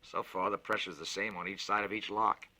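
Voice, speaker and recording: Man voicing a blunt, deep-voiced skeptical reporter. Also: flat delivery, a little fast, sharp tone, heavily acted. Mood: questioning. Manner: blunt, challenging questioning. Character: skeptical, prickly male journalist visiting the moon.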